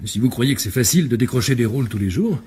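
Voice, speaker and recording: An actor playing a young understudy in a radio mystery drama, proud, guarded and quick to take offence. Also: male voice, medium pitch, animated, darkish tone, husky. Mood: defensive, wary. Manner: guarded, indignant.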